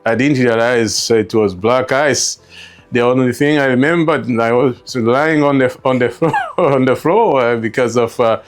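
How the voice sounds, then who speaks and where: A middle-aged man from Rwanda with a Rwandan accent tells his personal story as an interview guest, halting and repeating words as he reflects. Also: mid-range voice. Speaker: interview guest, store co-founder.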